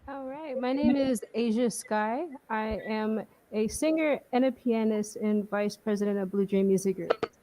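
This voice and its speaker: A woman's voice: on the high side, moderate pace, mellow, a little hiss or hum, rough recording. A woman speaks as a guest on a casual podcast panel. She answers hesitantly and hedges often. She uses plenty of fillers. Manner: hesitant, filler-heavy, laughing. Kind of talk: casual discussion.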